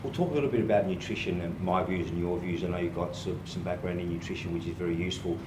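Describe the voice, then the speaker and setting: A male podcast host with a fairly low voice asks his guest friendly questions, filling gaps with ums and uhs. He sounds roomy and set back from the mic, with noise all around in the gym. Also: fast, far from the mic. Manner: friendly, calls guest mate, many fillers. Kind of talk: casual podcast interview.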